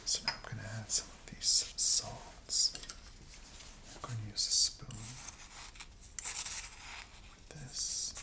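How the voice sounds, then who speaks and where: A middle-aged man whispering softly and breathily in an ASMR roleplay, murmuring unhurriedly to himself as he works as a chemist. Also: fairly low pitch, creaky. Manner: slow, unhurried murmuring to himself while working. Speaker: ASMR roleplayer playing a soft-spoken apothecary chemist. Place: indoors, small lab-styled set.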